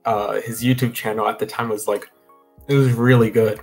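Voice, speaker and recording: A young man being interviewed over a video call from a room at home, talking in a relaxed, rambling way with lots of fillers and restarts. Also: lowish voice, normal speed, faint music behind. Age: young adult. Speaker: guest. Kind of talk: casual interview.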